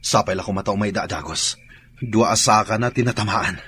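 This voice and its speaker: A man's voice: on the low side, normal speed, fairly bright tone, breathy edge. A man playing a dutiful, worried soldier in a radio drama, speaking with an Ilocano accent. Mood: worried, subdued. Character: dutiful, worried male soldier, deferring to his superior.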